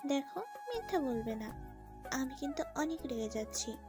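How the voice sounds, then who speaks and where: A woman voices a clingy young wife in a romantic audio story. She speaks in a high voice, possessive and protective, with faint music behind her. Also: sing-song, normal speed, darkish tone. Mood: pouty.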